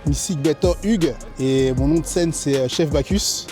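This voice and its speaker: A man from France giving a personal testimony in an interview as a food truck chef, speaking haltingly and repeating words as he searches for phrasing. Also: fairly high pitch, music in the background.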